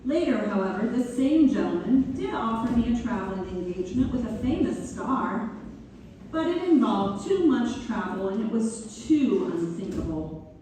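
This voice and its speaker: A woman playing Mabel, a genteel pianist turned writer, reminiscing in measured theatrical narration. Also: fairly high pitch, lively intonation, mellow, lightly acted. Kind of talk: theatrical first-person narration, read-through. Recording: distant mic in very echoey hall, faint noise.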